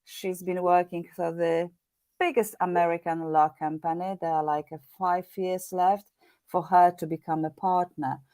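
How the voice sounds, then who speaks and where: A woman's voice: on the low side, steady tone, normal speed, dark tone, no echo. A woman in her fifties from Poland with a Polish accent, a guest on a podcast interview, speaking earnestly and often repeating words while she searches for them. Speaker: guest, meditation teacher.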